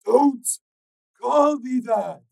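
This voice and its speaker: A man voicing an unstable male kidnapper who screams and rants in a drawn-out, raised voice. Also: very high-pitched, creaky, darkish tone, dry recording, voice pushed up, full character voice. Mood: anguished.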